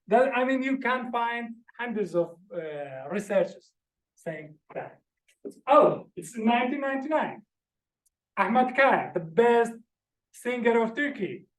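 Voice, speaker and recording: Guy lecturing from slides, high voice, earnest, stops to explain each word, echoey room. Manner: earnest, explains terms one by one. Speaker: visiting lecturer.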